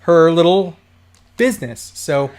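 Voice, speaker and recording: A man hosting a casual livestream from home, chatting and reading out viewer comments, with a voice going hoarse from a dry throat. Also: a little high, expressive, a little slow, a little mellow. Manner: chatty, rambling, laughs often. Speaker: livestream host.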